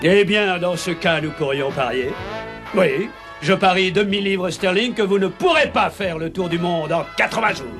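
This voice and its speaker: A haughty cartoon clubman with a high, piercing bright voice. He is pompous and scornful, leaning hard on his words. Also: normal speed, faint music behind. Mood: challenging. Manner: pompous, emphatic. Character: haughty, scornful gentlemen's club member, challenging a rival. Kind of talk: French cartoon voice acting.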